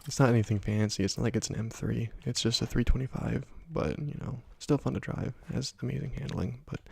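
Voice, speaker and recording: A young man hosting a gaming ASMR video, talking softly and slowly, often dropping to a whisper, with frequent ums. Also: mid-range voice, steady tone, vocal fry, breathy edge. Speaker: gaming ASMR channel host. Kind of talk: soft-spoken ASMR gameplay commentary.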